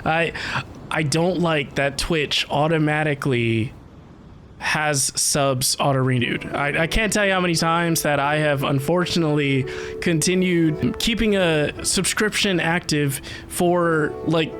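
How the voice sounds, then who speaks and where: A woman's voice: deep voice, measured pace. A woman streamer chatting casually with viewers during a live game stream, full of conversational asides. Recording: stream with game music behind. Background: video game music.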